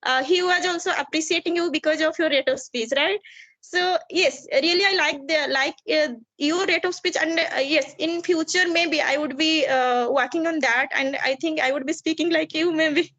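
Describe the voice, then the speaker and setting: A woman's voice: high voice, normal speed, fairly bright tone, no room sound. A woman with an Indian English accent talking earnestly on a video call, with many restarts and "means" as a filler. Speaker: subscriber, conversation partner. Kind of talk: casual conversation practice on a video call.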